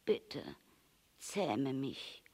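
A storybook reader takes on an earnest fox with a wistful heart. The voice sits mid-range and moves in lively leaps, explaining with emphasis and pleading for friendship. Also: medium pitch, unhurried. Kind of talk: storybook character reading.

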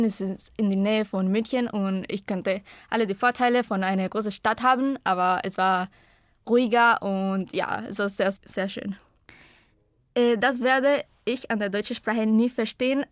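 A woman's voice: medium pitch, fairly even intonation, normal speed, no echo, studio quality. Young woman speaking learner German. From Costa Rica. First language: Spanish. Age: 20s. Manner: hesitant, searching for words. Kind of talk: personal self-introduction.

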